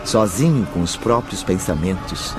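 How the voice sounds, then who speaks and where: Deep-voiced solemn Brazilian male narrator. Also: expressive, unhurried, music in the background. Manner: solemn, theatrical delivery. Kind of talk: dramatic scripted narration for a Spiritist radio drama.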